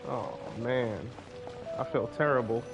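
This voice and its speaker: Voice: medium pitch, slow, lo-fi sound, music in the background. A gamer making casual, muttered asides as commentary while playing a story quest.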